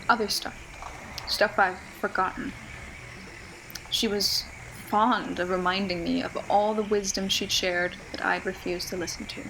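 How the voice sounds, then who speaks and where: A woman's voice: medium pitch, close to an everyday voice. A young woman playing a stubborn, regretful survivor who confides reflectively in an audio drama monologue. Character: regretful, stubborn young woman missing someone she lost. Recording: clearly noisy, ambient background noise.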